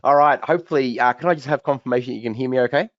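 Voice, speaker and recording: A quick-talking man with a croaky voice hosts a live trading webinar, chatting through his charts with plenty of restarts. The audio sounds a little dull and very dry, with no room sound around him. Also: mid-range voice, no echo. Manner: chatty, repeats words and restarts, many fillers. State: croaky, recovering voice. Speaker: trading educator hosting a webinar Q&A.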